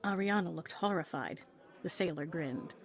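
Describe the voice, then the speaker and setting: A woman's voice: fairly low pitch, normal speed. Woman narrating a story dramatically.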